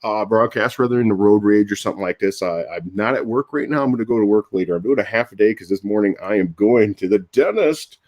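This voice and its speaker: An older man with a low, slightly deep voice talks through a casual morning live stream. He rambles from point to point, restarts his sentences and chuckles between thoughts. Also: fast, few pauses, darkish tone, muffled recording. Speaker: YouTube host. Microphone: different audio device that lowers voice.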